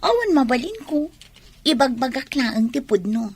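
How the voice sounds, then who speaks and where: Husky, high-voiced scheming young Filipino woman. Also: big pitch swings, moderate pace, exaggerated voice. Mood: frank, dismissive. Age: young adult. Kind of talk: Ilocano radio drama acting.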